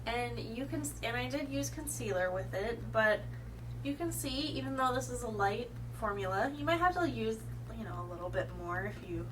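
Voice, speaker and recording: A woman's voice: a little high, animated, normal speed, distant mic, background noise. A woman with a cold and a slightly congested voice chatting casually through a makeup review, rambling and correcting herself as she goes. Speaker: beauty vlogger. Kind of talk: casual product review to camera.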